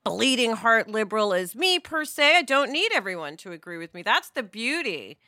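A woman's voice: a little high, sing-song, normal speed, bright, forceful tone, no echo, studio quality. A middle-aged American woman hosting a podcast discussion, speaking in an opinionated, emphatic way. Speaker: podcast host.

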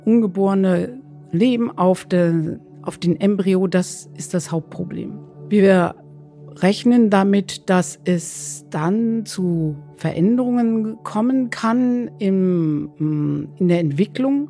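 A woman's voice: fairly low pitch, mellow. A woman doctor giving explanatory interview answers as an expert, searching for her phrasing as she goes.

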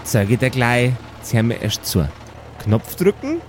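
A man's voice: medium pitch, wildly swooping pitch, measured pace, slight background noise, voice pushed down. A man with a Bavarian accent voicing an inventive, bossy mother who instructs her grown sons in an improvised fantasy audio game. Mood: stern instructing. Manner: instructing, exasperated, rustic.

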